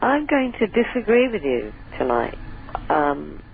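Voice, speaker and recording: A woman calling in to a radio phone-in, chatty and giggly, her voice a little hoarse. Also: mid-range voice, big pitch swings, a little slow, slight background noise. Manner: chatty, giggly, self-deprecating. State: voice going hoarse. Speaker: caller. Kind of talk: phone-in chat. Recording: tinny phone line.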